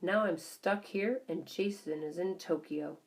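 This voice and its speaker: A woman's voice: fairly low pitch, a little slow, gravelly. A woman voicing a grim, bitter older husband who grumbles and complains in a children's audiobook. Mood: glum. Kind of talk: children's audiobook character dialogue.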